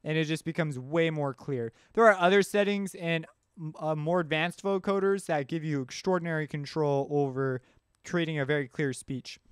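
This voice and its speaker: Male tutorial host, smooth and slightly high, informal step-by-step explainer, dry studio-close sound. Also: normal speed, no echo. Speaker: music production tutorial host. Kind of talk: casual screen-recorded software tutorial.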